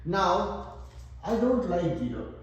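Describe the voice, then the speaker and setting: A man's voice: sits high, very animated, slow, lo-fi sound. A man with an Indian accent teaching a math lesson, working through problems step by step and thinking aloud. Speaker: math tutor. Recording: very echoey room.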